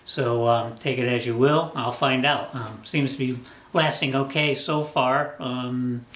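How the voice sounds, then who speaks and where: Middle-aged man, medium pitch, casual workshop talk, lots of ums, roomy sound. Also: steady tone, fairly bright tone. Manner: unhurried, frequent ums and uhs, thinking aloud. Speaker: boat restoration channel host. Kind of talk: casual talking-head explanation. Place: small indoor workshop corner.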